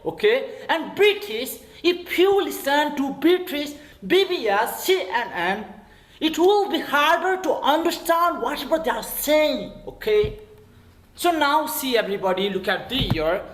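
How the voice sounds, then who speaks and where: A man with a Nepali accent teaches an English lesson over a video call, his voice high and bouncing all over the place. He spells words out letter by letter and checks in again and again. The sound is roomy, with echo around him. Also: big pitch swings, unhurried, fairly bright tone. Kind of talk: online classroom lesson.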